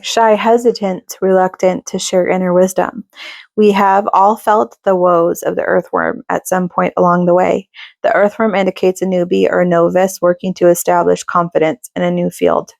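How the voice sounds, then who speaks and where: A woman giving a casual tarot reading to her viewers, chatty and reassuring, with lots of fillers and a slightly raspy voice. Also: lowish voice, a little mellow, no echo, studio quality. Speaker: tarot reader.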